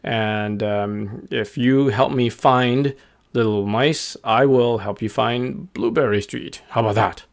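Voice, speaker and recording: A man voicing a big, gentle, friendly male wolf in a children's story, amiable and thoughtful in his pauses. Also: medium pitch. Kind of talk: improvised children's story character voice.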